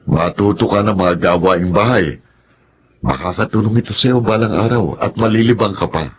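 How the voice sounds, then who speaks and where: A man acts out a kindly Filipino husband and foster father in an audio melodrama. His voice is on the low side and easygoing, over faint background noise. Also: moderate pace, natural voice. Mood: approving. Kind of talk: Filipino radio-style melodrama acting.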